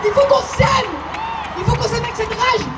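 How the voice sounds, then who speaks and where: A woman in her twenties from Congo, giving an impassioned protest speech and shouting repeated calls to a crowd. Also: very high-pitched, normal speed. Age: 20s. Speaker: rally speaker. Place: outdoor square at a rally. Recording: echoey, far from mic, crowd and noise.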